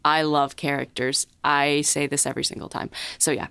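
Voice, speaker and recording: Smooth, lower-pitched woman on a podcast. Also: level tone, normal speed, pushed and bright, no echo. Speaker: podcast co-host and series writer. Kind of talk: casual panel chat.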